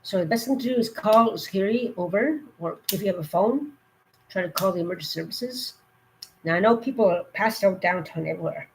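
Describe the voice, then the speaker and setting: A woman with a cold, her voice going hoarse, giving earnest safety warnings in a rambling, casual live stream. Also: mid-range voice, a little fast, darkish tone, slight background noise, low-quality recording.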